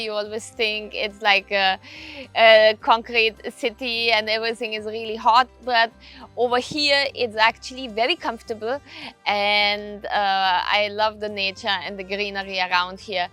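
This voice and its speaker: A woman in her thirties from Austria with an Austrian accent, a riding instructor speaking in a measured way in a documentary interview. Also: on the high side, steady tone, pushed and bright, faint music behind. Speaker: riding instructor and leadership coach.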